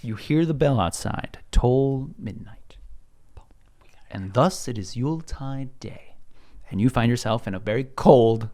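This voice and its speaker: A man's voice: mid-range voice, a little slow, dark tone. A man running a tabletop role-playing game, narrating informally with jokes and asides. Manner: jokey, informal, acts out sound effects. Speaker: game master.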